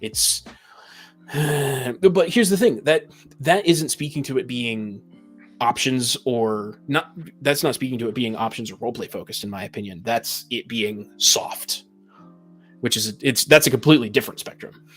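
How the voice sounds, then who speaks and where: A man's voice: medium pitch, moderate pace, a bit bright, breathy edge, soft background music. A man in a casual podcast discussion, making his points emphatically and restating them. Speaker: podcast panelist. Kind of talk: casual opinionated discussion of tabletop games.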